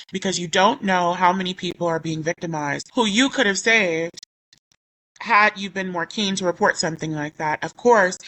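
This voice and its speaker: Bright-voiced woman recovering her voice. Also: lowish voice, low-quality recording. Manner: indignant, rambling with self-corrections. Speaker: YouTuber. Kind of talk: commentary vlog reading comments aloud.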